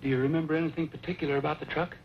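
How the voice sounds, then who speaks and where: Man as cowboy hero, brisk delivery, calm, decisive, plain-spoken, Western drama, muffled old recording. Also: a little high, natural voice. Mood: steady, concerned. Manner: plain-spoken, steady, reassuring. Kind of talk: mid-century Western drama acting. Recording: dull, noisy old radio recording.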